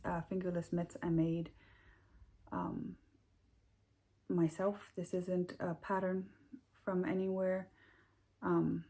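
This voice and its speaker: Icelandic woman, American English accent, slow and unhurried, mellow husky tone, hesitant casual host. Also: fairly low pitch. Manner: hesitant, many ums and restarts, soft-spoken. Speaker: knitting podcast host. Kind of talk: casual chat to camera.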